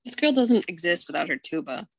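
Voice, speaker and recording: A woman's voice: on the low side, big pitch swings, brisk, vocal fry, slightly rough, no echo. A woman on a podcast panel, chatting casually about a TV episode.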